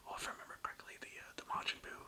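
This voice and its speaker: A young adult ASMR creator whispering breathily in a casual, slangy, rambling way with some swearing. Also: rapid-fire. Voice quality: breathy, mostly unvoiced whisper. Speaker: ASMR video creator and host.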